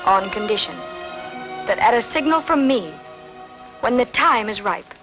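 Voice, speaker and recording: A woman's voice: a little high, animated, unhurried, over music. A woman dubbing a proud, calculating ancient queen in a formal, imperious manner for a film drama. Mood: coolly commanding.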